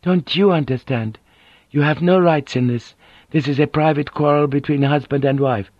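A man reading a story aloud, voicing a proud, wounded noblewoman who speaks calmly and bitterly. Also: deep voice, normal speed, no echo, muffled recording, voice pushed up. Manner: calm, controlled, bitter.

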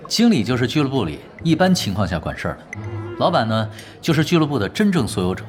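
An actor as a wry, laid-back team leader. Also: male voice, medium pitch, sing-song, quick pace, soft background music, natural voice. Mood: patient, amused. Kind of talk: audio drama acting.

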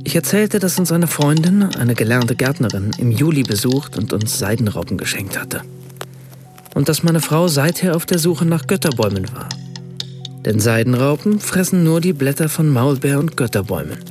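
A man's voice: medium pitch, animated, normal speed, a little mellow, soft background music, natural voice. An actor playing an observant writer, a man who recounts his memories precisely as radio play narration. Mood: measured recollection. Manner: precise, literary storytelling in reported speech. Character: German writer narrating his Italian summer, observant, measured.

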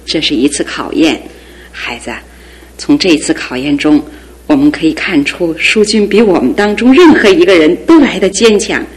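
Doting middle-aged mother, lowish tender voice, fussing manner. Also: animated, moderate pace. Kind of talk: radio drama acting.

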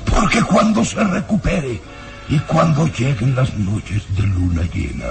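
An actor playing a sinister, menacing baron in a radio drama, with theatrical, insistent, drawn-out delivery. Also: male voice, medium pitch, animated, creaky. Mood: ominous, accusing. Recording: radio drama with loud music behind. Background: dramatic music.

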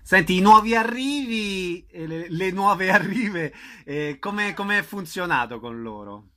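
A man's voice: sits high, lively intonation, a little slow, fairly bright tone. A man interviewing a guest casually, in an easygoing conversational way, as a radio host.

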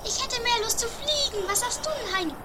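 A woman's voice: very high-pitched, a little fast, bright, forceful tone. A woman playing a stubborn, scatterbrained sister who blurts petulantly in an amateur comedy radio play. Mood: eager. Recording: very noisy 1980s homemade recording.

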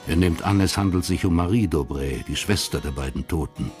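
A man narrating a radio crime drama in a measured, literary storytelling manner. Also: low-pitched, darkish tone, faint music behind.

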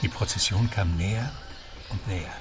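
A man's voice: sits low, normal speed, recorded from a distance, over music. A man narrating a radio play in a literary storytelling style.

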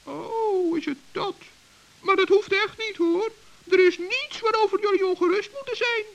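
A man playing a small, proud, excitable forest gnome in a children's radio play, chatty and self-important, with a squeaky voice. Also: moderate pace, highs cut off. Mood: earnest. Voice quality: high, creaky, squeaky. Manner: chatty, self-important, stammers when flustered.

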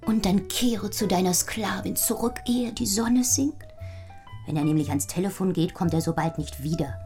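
An actress in a German radio play playing a pert, independent young cabaret singer, candid and cheeky in a matter-of-fact way. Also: female voice, mid-range voice, moderate pace, warm tone, faint music behind, lightly acted.

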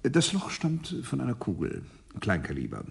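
Brief: gravelly and precise forensic technician, radio crime drama. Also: male voice, mid-range voice, huge pitch swings, moderate pace. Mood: factual. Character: male lab expert, factual and precise. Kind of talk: 1980s German radio crime play acting.

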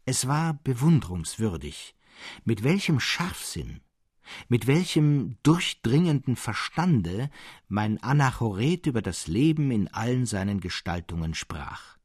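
An actor playing a thoughtful storyteller fascinated by madness, narrating a literary radio drama in a solemn, deliberate manner. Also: male voice, mid-range voice, very animated, darkish tone, breathy edge, dry recording, clean studio sound. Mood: admiring. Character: thoughtful male storyteller Cyprian, fascinated by madmen.

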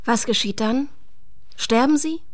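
An actress playing a pensive, unsentimental, doubting woman in a radio drama, speaking in a reflective, measured way. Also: a little high, no room sound, natural voice. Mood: sober, probing.

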